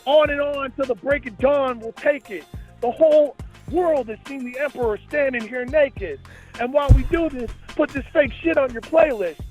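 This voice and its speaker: A man's voice: very high voice, normal speed, darkish tone, soft background music. A man appearing as a guest rapper and podcaster, moving between freestyle rap and casual promotional talk.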